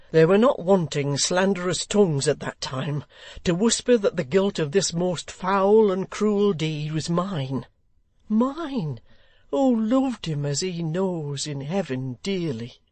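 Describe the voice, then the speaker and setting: A woman voicing a grave, earnest gentleman who presses his plea with solemn urgency. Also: on the low side, expressive, unhurried, no echo, pitched above the performer's own voice. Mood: urgent. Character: grave, urgent middle-aged gentleman seeking justice.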